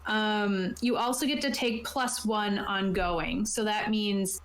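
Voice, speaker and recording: A woman's voice: medium pitch, steady tone, slow. A woman casually explaining game rules on a tabletop stream, reading aloud and then putting it in informal plain terms. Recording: roomy, echoey sound.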